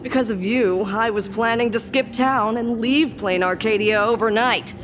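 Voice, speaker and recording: A woman's voice: a little high, normal speed, natural voice. A woman voicing a tired, sarcastic hospital doctor in a game, dry and world-weary. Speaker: game character. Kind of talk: game dialogue. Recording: game audio with music underneath.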